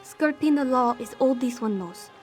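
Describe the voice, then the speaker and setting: Assured cat-woman outlaw, fairly high voice, roguish, principled, fantasy game. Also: animated, moderate pace, faint music behind. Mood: wry, assured. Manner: assured, speaks of herself in third person. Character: roguish, principled female cat-folk smuggler. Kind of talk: fantasy video game dialogue.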